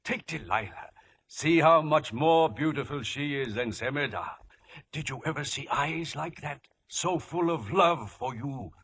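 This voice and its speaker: A man's voice: on the high side, expressive, a little slow, fairly bright tone, a little hiss or hum. An actor in a 1950s radio drama playing an anxious older father, pleading and coaxing. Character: anxious, wheedling older father bartering his daughters.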